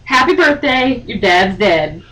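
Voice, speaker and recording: A woman's voice: mid-range voice, lively intonation, unhurried, sharp tone. A woman host chatting casually and cutting in with sarcastic commentary, indoors. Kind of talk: casual chat, heckling commentary. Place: sewing room, indoors. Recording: distant mic, background noise.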